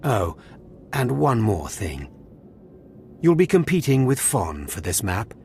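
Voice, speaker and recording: A man's voice: on the low side, big pitch swings, takes it slowly, darkish tone, a little hiss or hum, exaggerated voice. An actor voicing a harsh male overseer of a Sith academy, contemptuous and commanding. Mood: scornful. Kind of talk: game voice acting.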